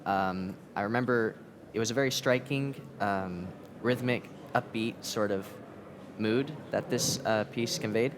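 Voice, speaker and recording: A young man from the United States with an American accent, talking as a pianist guest. He speaks in an articulate, measured way. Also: fairly low pitch. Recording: steady background noise.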